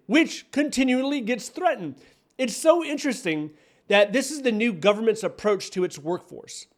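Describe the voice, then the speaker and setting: An American man in his forties giving opinion commentary, speaking emphatically and repeating key phrases to drive points home. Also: very high-pitched. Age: 40s. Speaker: online career coach and commentator. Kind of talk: direct-to-camera opinion commentary.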